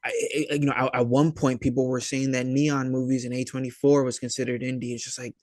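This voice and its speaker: Laid-back-talking man, halting and slangy, close dry sound. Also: medium pitch, level tone, darkish tone, no room sound. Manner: slangy, hesitant, halting delivery peppered with dude and man. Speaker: filmmaker and actor, interview guest. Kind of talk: casual chat.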